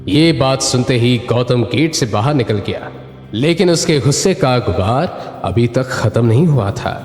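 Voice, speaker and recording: A man narrates a drama in a lively, swinging, mid-pitched voice. The recording is echoey with long reverb, and music plays behind him. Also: measured pace. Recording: roomy echo with long reverb.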